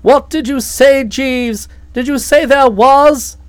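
A woman reader voicing an excitable, forceful older aunt who talks loudly and emphatically. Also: high-pitched, takes it slowly, dry recording. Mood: agitated. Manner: loud, emphatic, impatient.

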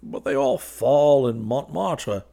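A man's voice: medium pitch, takes it slowly, creaky, mellow, pitched above the performer's own voice. A man voicing a cheerful young sweetheart who offers light, unconcerned reassurance in an audiobook. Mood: gaily reassuring. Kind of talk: audiobook character dialogue.

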